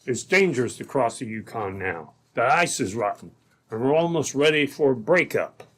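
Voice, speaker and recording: A man's voice: medium pitch, animated, moderate pace, fairly bright tone. A man playing a suspicious, jumpy gold thief in an old-time radio drama, blunt and insistent. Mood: wary, insistent.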